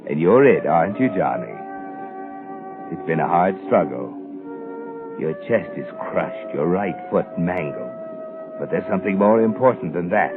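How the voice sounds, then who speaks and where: An ominous male narrator of 1940s radio suspense, very low-pitched and fatalistic. He speaks with knowing, taunting insinuation. Music plays behind him on a muffled, aged recording. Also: expressive, unhurried, long pauses. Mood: grim, taunting.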